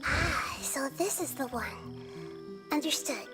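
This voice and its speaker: An actress voicing a gentle, girlish young god who speaks softly and thoughtfully, over game music. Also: high voice, takes it slowly. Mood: calm. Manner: soft, thoughtful, sighs from tiredness. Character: gentle girlish god in small form, calm.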